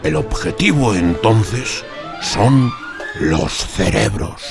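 A man's voice: lowish voice, sing-song, extremely slow, vocal fry. A man narrating a scripted radio essay, emphatic and ironic in his delivery. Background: music.

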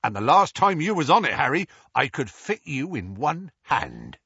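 A man voicing a huge, gentle half-giant man with rustic, folksy speech, on a slightly dull recording. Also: fairly high pitch, huge pitch swings, moderate pace, husky, no echo, voice pushed up, full character voice. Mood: eager homely.

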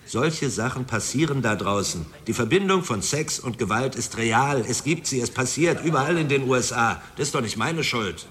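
Animated, self-important male film director played by an actor. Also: mid-range voice, normal speed, few pauses, lightly acted. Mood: defensive. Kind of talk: German radio drama acting.

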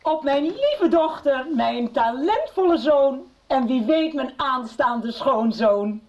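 Exuberant, high-voiced mother. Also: animated, takes it slowly, lo-fi sound, heavily acted. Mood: gushing. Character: exuberant, scatty middle-aged mother, gushing and informal. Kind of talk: Dutch radio soap acting.

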